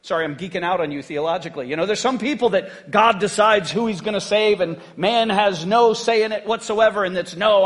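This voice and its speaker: Male pastor, high-pitched, chatty joking sermon delivery. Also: fairly bright tone. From United States. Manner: conversational, joking, asks the congregation "right?".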